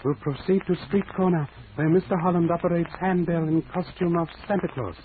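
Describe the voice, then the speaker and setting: An actor playing a wise, polite middle-aged detective, speaking in clipped phrases with dropped articles and formal proverbs, in 1940s radio acting style. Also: male voice, a little high, fairly even intonation, a little fast, close to an everyday voice. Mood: calm, formal. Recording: tinny hissy old radio recording.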